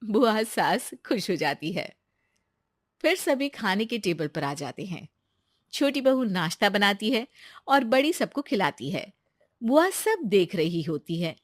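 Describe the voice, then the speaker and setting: A woman's voice: mid-range voice, very animated, a little slow. A woman narrating a scripted family moral story.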